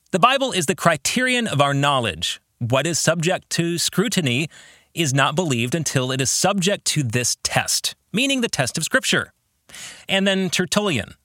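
A man's voice: a little high, bright, forceful tone, no echo, studio quality. A man hosting a podcast as a theology teacher, speaking in an academic, emphatic way as he explains ideas and reads aloud. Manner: academic, emphatic, asks the listener reflective questions. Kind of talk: book discussion podcast, teaching and reading quotations aloud.

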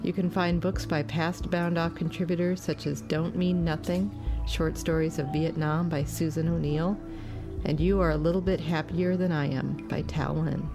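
A woman's voice: deep voice, fairly even intonation, normal speed. A woman hosting a podcast, reading scripted announcements and introductions. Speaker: podcast host. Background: music.

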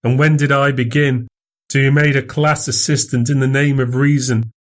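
A man's voice: medium pitch, fairly even intonation, normal speed, voice pushed up, natural voice. A man voicing a frightened young male student who pleads in anguish in a storybook reading. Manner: anxious, pleading.

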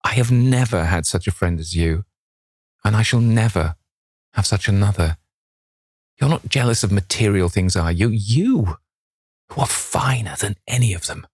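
A man voicing a sensitive male painter, earnest and emotional, halting when upset, in a novel reading. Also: low voice, fairly even intonation, breathy edge, dry recording, clean studio sound. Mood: pleading.

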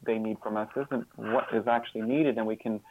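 A man's voice: on the low side, fairly even intonation, a little fast, no echo, phone-quality sound. A man giving a reflective interview as a guest, speaking earnestly and often repeating words as he searches for phrasing. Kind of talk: reflective interview talk with personal examples.

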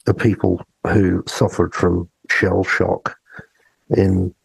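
Male podcast co-host, gravelly and husky, conversational, close dry sound. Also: low voice, little pitch movement, slow, dry recording, poor audio. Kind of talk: casual podcast discussion.

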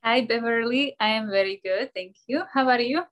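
A woman's voice: on the high side, moderate pace, a bit bright, dull audio. Cheerful woman dancer. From Slovakia. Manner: cheerful, laughs often, drops articles. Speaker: ballet dancer and personal trainer. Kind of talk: casual interview answers.

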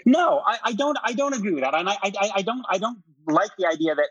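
A man's voice: high-pitched, expressive, fast, fairly bright tone, husky, no room sound, muffled recording. A middle-aged man arguing politics as an interview guest, insistent and often repeating phrases as he presses his point. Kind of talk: heated political debate.

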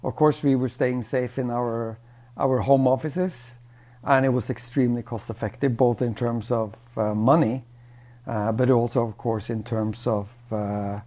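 Guy, exec leaving a voicemail comment, soft dark mellow tone, halting unscripted business talk. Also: mid-range voice, steady tone.

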